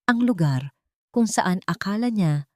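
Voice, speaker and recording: A woman with a Filipino accent narrates a scripted story in a measured, solemn and dramatic way. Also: medium pitch, expressive, mellow, no room sound, studio quality. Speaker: audiobook narrator.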